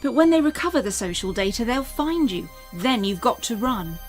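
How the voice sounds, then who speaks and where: A woman playing an earnest, secretive alien mother, speaking gravely and deliberately in an audio drama. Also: a little high, sing-song, faint music behind. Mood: urgent warning.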